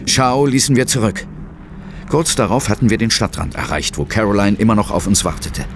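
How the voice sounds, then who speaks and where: A man playing a serious, brooding ghost hunter who narrates a horror audio drama gravely and dramatically. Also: lowish voice, big pitch swings, fairly quick, a little hiss or hum. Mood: tense, grave. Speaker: first-person narrator and hero.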